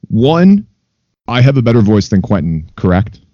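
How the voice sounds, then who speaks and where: Guy cohosting a podcast, very mellow, casual and stop-start, dull lo-fi audio. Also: lowish voice, sing-song, normal speed, a little hiss or hum. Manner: informal, stop-start with repeated words. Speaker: podcast co-host. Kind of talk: laid-back casual interview chat.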